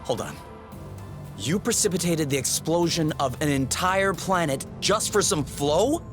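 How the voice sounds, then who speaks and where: A young rebel with a fairly high voice, blunt and challenging in a game drama. He speaks skeptically over background music. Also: animated, a little slow. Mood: skeptical, indignant. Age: young adult. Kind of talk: video game dramatic voice acting.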